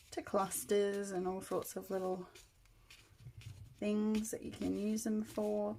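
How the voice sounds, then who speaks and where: A woman getting over a cold, her voice still croaky, chatting casually as she works on a journal at her craft table. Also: mid-range voice, a little mellow, slight background noise, rough recording. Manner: chatty, thinking aloud while working. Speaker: crafter demonstrating journal making.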